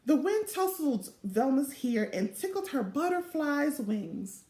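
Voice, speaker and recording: A middle-aged woman reading a children's storybook aloud in a deliberate, expressive way, recorded in a small room. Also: high voice. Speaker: volunteer storybook reader. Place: small indoor room or home office. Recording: roomy, echoing home webcam recording.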